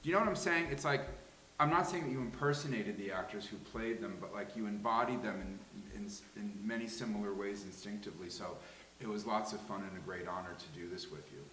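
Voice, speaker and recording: A man's voice: lowish voice, fairly quick, pushed and bright. A man earnestly giving effusive feedback in a casual discussion, often restarting his sentences as he goes. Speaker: table read participant giving feedback. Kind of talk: casual post-show discussion. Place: live table read in a room. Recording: roomy echo with faint hiss.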